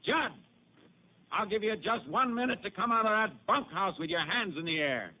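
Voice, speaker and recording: A man with an American accent acting a methodical Texas Ranger in a 1950s radio drama, measured and matter-of-fact as he narrates and plays scenes. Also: high-pitched, animated. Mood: urgent, commanding. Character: steady, methodical Texas Ranger lawman, male detective. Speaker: lead actor and narrator. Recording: noisy, narrow-band old radio recording.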